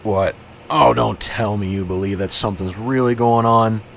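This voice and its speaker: A man's voice: fairly low pitch, moderate pace, fairly bright tone. A man playing a cynical, weary veteran police officer in an amateur radio drama, grumbling, sarcastic and dismissive. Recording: clearly noisy, vehicle-like background ambience.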